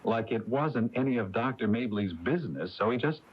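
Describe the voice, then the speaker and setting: An American actor playing a flustered, blustering small-town husband with a folksy manner in a 1980s radio drama. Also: male voice, mid-range voice, expressive, moderate pace, breathy edge. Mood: curious, dismissive. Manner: folksy, stammering, protesting. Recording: dull, hissy AM radio tape.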